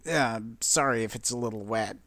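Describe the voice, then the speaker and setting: A man's voice: on the low side, normal speed, sharp tone, dry recording. Man doing an eager little boy dragon. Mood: cheerful. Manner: eager, boyish. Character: cheerful, helpful young male baby dragon.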